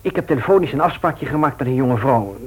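A male radio play actor playing a nervous, earnest bachelor, speaking in a halting but insistent way. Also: mid-range voice, animated, darkish tone, breathy edge, rough recording. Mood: nervous, confessional. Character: nervous, hurried bachelor friend, guilt-ridden and earnest. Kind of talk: naturalistic Dutch radio drama acting.

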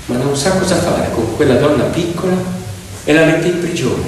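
A man telling a story theatrically, emphatic and full of pauses. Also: on the high side, lively intonation, slight background noise. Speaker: stage actor and storyteller. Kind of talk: theatrical storytelling to piano accompaniment. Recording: echoey hall, distant mic. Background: piano music.

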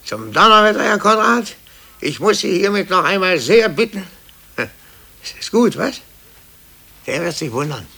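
A man playing a timid, blustering office clerk in a 1950s German radio drama, stammering and agitated, with a gravelly, husky voice. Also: high voice, sing-song, normal speed, pauses often, bright, forceful tone, exaggerated voice. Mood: blustering, defiant. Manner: agitated, stammering, swinging between bluster and cowering. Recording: 1950s radio play from cassette.

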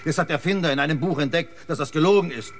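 Man as a fast, eager, anxious fighter. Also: on the high side, lightly acted. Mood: urgent. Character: eager, anxious male fighter explaining and urging. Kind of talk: German radio drama acting. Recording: noisy battle ambience behind.

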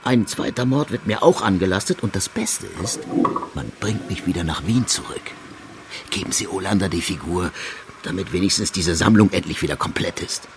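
An actor playing a weary, sardonic chief police inspector in a crime radio play. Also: male voice, sits low, expressive, normal speed, background noise. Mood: resigned. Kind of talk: German radio drama acting. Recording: music behind the voice.

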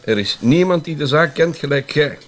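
An affable Flemish police colonel. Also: male voice, a little high, expressive, normal speed. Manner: affable, laughing, conspiratorial. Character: genial senior police colonel, confiding. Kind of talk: radio drama acting.

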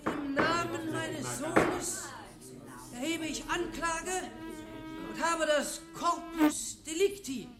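An actor playing an outraged, pompous town official in a radio drama, shrill, indignant and given to cursing. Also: male voice, fairly even intonation, slow pace, echoey room, loud music behind the voice. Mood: solemn indignation. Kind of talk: German radio drama acting.

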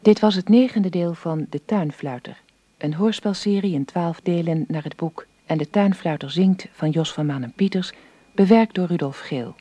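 A woman's voice: fairly low pitch, normal speed, mellow, breathy edge, dry recording. A woman announcer reading scripted credits for a radio drama series.

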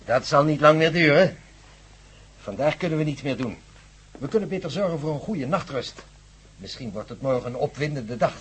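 Very husky, earnest professor. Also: male voice, a little high, animated. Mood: assured. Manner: measured, formal wording. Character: earnest male professor, confident, absorbed scientist. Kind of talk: radio drama acting.

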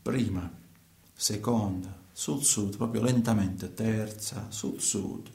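An actor playing a man who tells his own night-driving story in a halting, repetitive, colloquial radio monologue. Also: fairly low pitch, gravelly, mellow. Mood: brooding, hushed. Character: agitated male night driver telling his own story. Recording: roomy, echoing sound.

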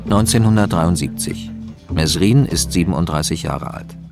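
A man's voice: deep voice, normal speed. A man narrating a radio drama from a script in a factual, announcing, documentary style. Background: guitar music.

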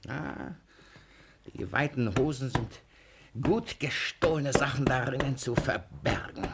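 An actor playing a paranoid, irascible old miser in a German radio comedy, raspy, blustering and suspicious, often muttering to himself. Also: male voice, mid-range voice, takes it slowly, very noisy. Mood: suspicious, threatening. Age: older. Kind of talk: German stage-comedy radio play acting.

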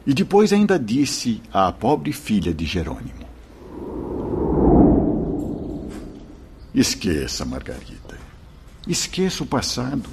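An actor playing a kindly religious brother and spiritual mentor in a radio drama, husky, fatherly and earnest. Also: mid-range voice, wildly swooping pitch, noisy background, exaggerated voice. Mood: fatherly, consoling. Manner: fatherly, measured, earnest, declamatory.

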